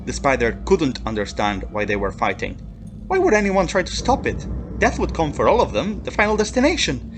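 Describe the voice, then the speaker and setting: A man giving an emphatic, expressive dramatic reading of a dark science fiction horror story as its narrator. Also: on the high side, a little fast. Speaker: narrator reading his own short story. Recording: faint background noise and ambience. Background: atmospheric background music.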